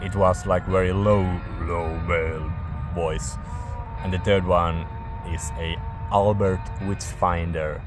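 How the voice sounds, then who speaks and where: Male reviewer, low pitch, hesitant word-searching delivery, casual tone, music audible underneath. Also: level tone. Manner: halting, searching for words.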